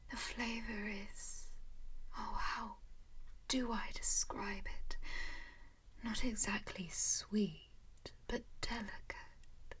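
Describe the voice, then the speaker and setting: A composed vampire noblewoman, voiced by a woman, who speaks only in a slow, airy whisper. She coaxes with pet names like darling and dear, gentle but quietly possessive. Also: medium pitch, slightly rough, heavily acted. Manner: soft whisper throughout. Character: composed, doting female vampire noble who owns a servant. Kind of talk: whispered ASMR roleplay.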